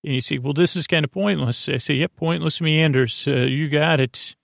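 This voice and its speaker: Creaky, meandering male bedtime podcast host. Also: a little high, moderate pace, no echo, clean studio sound. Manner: meandering, hesitant, forgetful, often losing his thread. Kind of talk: rambling bedtime podcast intro monologue.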